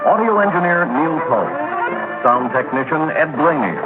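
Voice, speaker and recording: Man, old radio announcer, slightly high voice, formal measured delivery, tinny broadcast with loud music behind. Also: expressive. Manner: measured, formal credits reading. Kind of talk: 1960s radio drama announcing.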